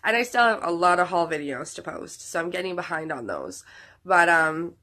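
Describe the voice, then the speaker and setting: A woman's voice: lowish voice, expressive, moderate pace, sharp tone. A woman in her thirties, sick and losing her voice, sounds nasal as she chats casually to her viewers, rambling with many fillers and restarts. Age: 30s. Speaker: vlogger.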